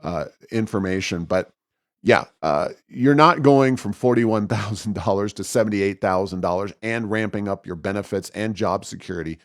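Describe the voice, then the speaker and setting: A man's voice: on the low side, normal speed, dry recording, clean studio sound. A man co-hosting a conversational political news show, opinionated and critical, feeling his way through explanations with self-corrections. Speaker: podcast co-host.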